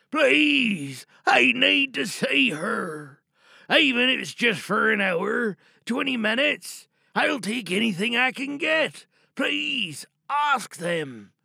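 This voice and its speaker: A man performing a monologue as an anxious, worn-down high school senior who pleads in despair. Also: vocal fry, sharp tone, slightly rough, no room sound, studio quality, exaggerated voice. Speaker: monologue reader. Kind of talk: dramatic audio monologue.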